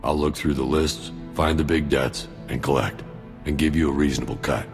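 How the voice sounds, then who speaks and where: A sardonic mercenary soldier with an extremely low, rumbling voice and plenty of gravel in it. He delivers his dry, greedy schemes in a laid-back game-cutscene style, with prominent music underneath. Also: steady tone, moderate pace. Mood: dry, businesslike. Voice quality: very deep, gravelly. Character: cynical, greedy mercenary soldier, alien arms dealer with dry humour. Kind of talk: video game cutscene dialogue.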